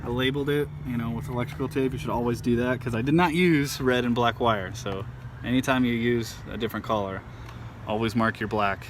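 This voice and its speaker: A man giving an informal, halting how-to demonstration, thinking aloud as he goes. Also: mid-range voice. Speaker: amateur truck owner showing a DIY install. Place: outdoors at a truck. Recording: handheld outdoor recording with clear background noise.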